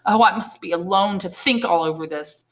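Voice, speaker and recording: A woman's voice: on the low side, normal speed, close to an everyday voice. A woman reading the part of an earnest, naive young male prisoner in candid, emphatic phrases. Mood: shocked, astonished.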